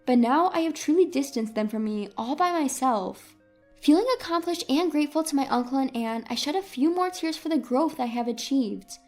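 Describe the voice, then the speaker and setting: A woman's voice: high-pitched, lively intonation, normal speed, a little mellow, soft background music. A woman reading a scripted first-person story as a dramatic narrator.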